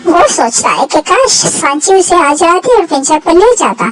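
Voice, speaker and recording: A woman's voice: very high-pitched, very animated, normal speed, slight background noise, rough recording. A woman narrating a scripted story for children.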